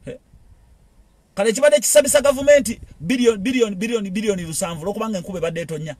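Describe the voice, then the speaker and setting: A man's voice: high-pitched, darkish tone, dry recording. A man with a Ugandan accent giving an impassioned political monologue, repeating phrases and firing rhetorical questions. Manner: rapid, repetitive, rhetorical questions. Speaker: political commentator.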